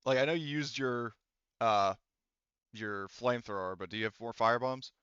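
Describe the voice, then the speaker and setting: A man's voice: medium pitch, moderate pace, a bit bright, clear, resonant tone, dry recording, muffled recording. A man running a tabletop role-playing game as game master, casually thinking aloud as he handles the rules.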